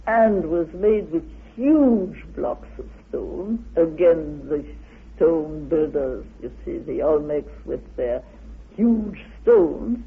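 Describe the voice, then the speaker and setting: A woman giving an unscripted explanation in a halting way, often searching for words. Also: lowish voice, husky, phone-quality sound. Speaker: interviewed researcher.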